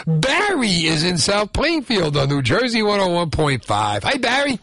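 A man's voice: sits high, wildly swooping pitch, moderate pace, fairly bright tone, no echo. A man hosting a call-in radio trivia game, bantering and teasing callers. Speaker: radio host. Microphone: studio microphone.

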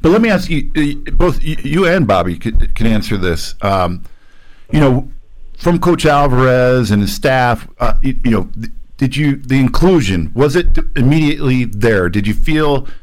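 An American man with a medium-pitched voice hosts a casual sports discussion. He talks in a halting, rambling way, circling toward his point like someone chatting with old teammates. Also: animated. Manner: halting, rambling, often says "you know". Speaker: talk show host, former college football player.

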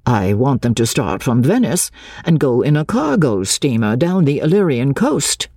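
A woman voicing a courteous, sympathetic English gentleman in an audiobook, gentle, formal and measured. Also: rumbling low, sing-song, husky, no room sound, studio quality, full character voice. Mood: genial. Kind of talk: audiobook character dialogue.

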